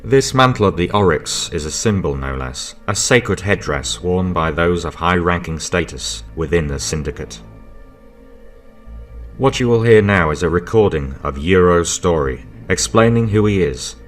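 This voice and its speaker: A man's voice: deep voice, animated, moderate pace, soft background music. A man giving scripted narration, introducing characters in an audio story as its presenter.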